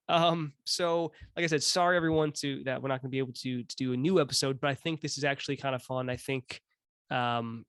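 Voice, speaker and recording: A man's voice: medium pitch, fairly bright tone, no room sound, studio quality. A man around thirty hosting a podcast, talking casually in a rambling, joking and self-deprecating way, cursing now and then. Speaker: podcast host.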